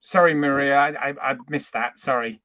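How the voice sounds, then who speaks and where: Male host, medium register, casual chat, clear crowd-like noise behind him. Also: medium pitch, moderate pace.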